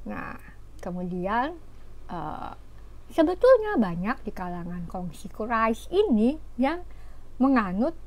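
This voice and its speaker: A woman giving an informal religious talk, explaining scripture in an animated way with fillers and rhetorical questions. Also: on the high side, takes it slowly. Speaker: religious teacher.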